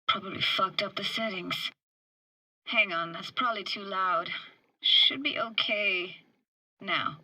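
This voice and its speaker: A woman's voice: medium pitch, slow pace, long pauses, bright, forceful tone, no room sound, full character voice, poor audio. An actress playing a tough but tender young techie woman in a video game, speaking in a casual, clipped, slangy way. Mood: casual, focused. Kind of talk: naturalistic video game dialogue.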